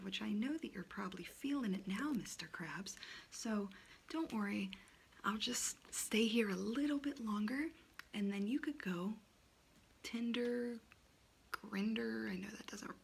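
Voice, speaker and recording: A woman in an ASMR roleplay voicing a cheeky personified monthly period as a female aunt, whispering softly and teasing, close to the mic. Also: mid-range voice, vocal fry. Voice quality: soft whispering. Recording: noisy recording.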